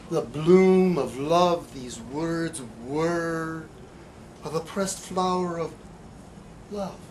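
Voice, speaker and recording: A man giving a live poetry reading in a bookstore, with halting, deliberate delivery, in an amateur recording. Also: on the high side, noisy background. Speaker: poet. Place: bookstore reading event, indoors.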